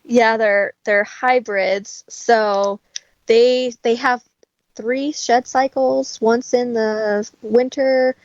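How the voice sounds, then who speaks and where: A woman's voice: on the high side, steady tone, very slow, dry recording. A woman chatting casually as a guest on a podcast, over a lo-fi connection. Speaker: podcast guest, audio editor. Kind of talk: casual chat. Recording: dull lo-fi voice connection.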